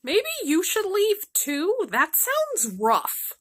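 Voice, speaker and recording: Lady doing a squeaky teary little girl, super high, slow and earnest. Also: wildly swooping pitch, fairly bright tone, heavily acted. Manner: earnest, weepy, high-pitched. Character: timid teary young girl on a rescue quest.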